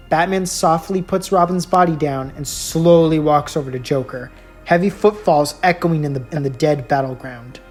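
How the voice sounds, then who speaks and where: A woman reading a scripted story as a storyteller, in dramatic narration. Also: low-pitched. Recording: music laid under the voice. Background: music.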